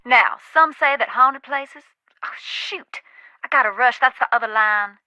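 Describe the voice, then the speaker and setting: A woman's voice: a little high, unhurried, bright, forceful tone, dry recording, rough recording. An actress playing a wry, haunted Southern woman who knows about ghosts, with a folksy, dramatic, teasing manner in a video game. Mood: frank, knowing. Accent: American South.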